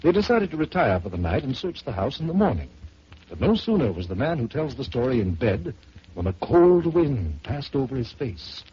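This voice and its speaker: A man hosting an old radio storytelling show, reading a ghost story aloud in a measured, theatrical way. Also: mid-range voice, very animated, warm tone, breathy edge. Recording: muffled old radio broadcast with hiss.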